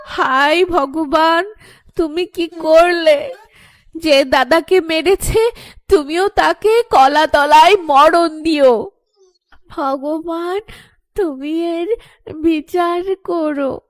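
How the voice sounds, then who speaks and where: Woman acting a high, plaintive village woman. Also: no echo, full character voice. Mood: wailing grief. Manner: plaintive, drawn-out. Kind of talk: Bengali horror cartoon voice acting.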